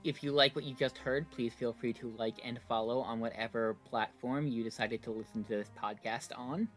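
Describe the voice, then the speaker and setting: A man's voice: medium pitch, fairly even intonation, normal speed, faint music behind. A man reading a scripted podcast outro.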